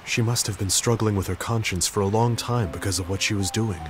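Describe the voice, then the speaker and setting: A man playing a gentle, thoughtful young gentleman in a video game, speaking in a measured, formal way. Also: fairly low pitch, noisy background, natural voice. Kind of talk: English video game voice acting.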